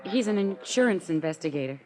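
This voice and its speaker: A woman's voice: medium pitch, lively intonation, fairly quick, lightly acted. An actress playing an anxious, earnest young working woman, hesitant and pleading, in 1950s radio drama style. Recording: noisy old 1950s radio recording.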